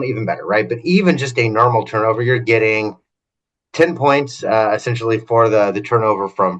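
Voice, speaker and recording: A man's voice: on the low side, low-quality recording. A man co-hosting a fantasy game podcast, explaining strategy in an analytical, talkative way. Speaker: podcast co-host.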